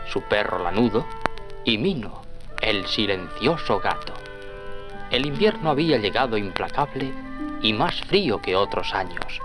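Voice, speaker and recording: A man's voice: on the low side, poor audio. A man narrating a scripted children's story as the storyteller.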